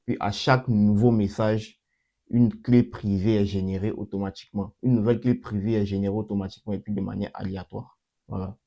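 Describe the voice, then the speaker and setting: A young man hosting his channel and talking through a coding demo in a casual way, with a cold that makes his voice a bit off. He repeats himself often and fills pauses with hesitations. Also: on the low side, steady tone, mellow, muffled recording. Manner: repeats himself, many fillers and restarts. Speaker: channel host. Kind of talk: casual screen-recorded coding walkthrough.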